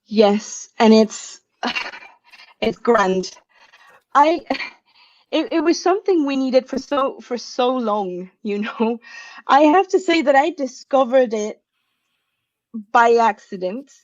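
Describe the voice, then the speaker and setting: A woman's voice: fairly high pitch, animated, slow, pauses often, no echo, muffled recording. A woman from Venezuela giving a tech talk over a video call, chatty and often laughing. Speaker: conference speaker.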